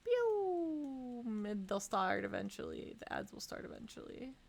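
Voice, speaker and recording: A woman hosting a casual game livestream in a playful, expressive way, sounding a little sniffly. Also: on the high side, measured pace, creaky. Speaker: game streamer and host. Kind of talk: casual livestream chat while playing a game.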